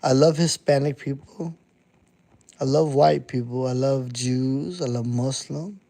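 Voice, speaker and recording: Slow, profane man in his thirties. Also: medium pitch, vocal fry, warm tone. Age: 30s. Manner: blunt and profane, repeats himself. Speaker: underground hip-hop artist streaming to chat. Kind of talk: casual live-stream monologue.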